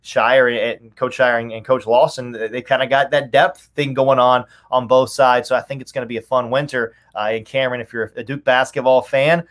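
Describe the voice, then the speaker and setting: Man talking sports on a video call. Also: medium pitch. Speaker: guest, radio play-by-play announcer. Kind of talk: conversational sports commentary. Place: indoor home room on a video call.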